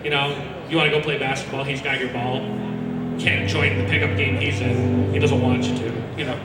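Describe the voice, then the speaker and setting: A loud young man giving a rambling, animated, informal talk about video games to a live audience, acting out characters as he goes. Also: medium pitch, brisk. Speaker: speaker presenting a ranked list. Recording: very echoey hall, distant mic, loud music behind.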